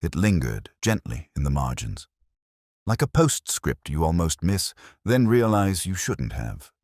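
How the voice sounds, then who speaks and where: A man narrates detective fiction in a very low, deep voice. His delivery is measured and reflective, yet the pitch moves with lively variation. The sound is close and dry. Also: no echo. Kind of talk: scripted first-person detective story narration.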